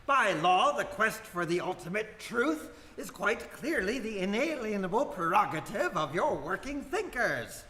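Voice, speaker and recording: A man plays a cocky, belligerent philosopher with a sharp, swooping voice. He argues like a brash union agitator in a live radio comedy, heard in a reverberant hall. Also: sits high, very animated, unhurried, few pauses, exaggerated voice. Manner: brash, argumentative. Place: indoor performance space with audience. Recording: echoey hall, faint noise, live.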